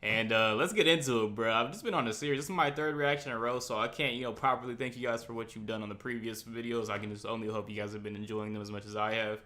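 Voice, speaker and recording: A man doing casual, excited reaction commentary as a host, talking in slang with animated interjections. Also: lowish voice, quick pace, few pauses.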